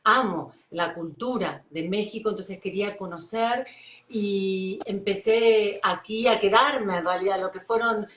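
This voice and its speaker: A woman with a Buenos Aires accent talks as an interview guest, warm and enthusiastic, often pausing on fillers as she thinks. Her voice sits at a middle pitch. The room around her gives a noticeable echo. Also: slight background noise. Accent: Argentine Spanish, Buenos Aires. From Argentina. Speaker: psychologist guest. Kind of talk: live-stream interview.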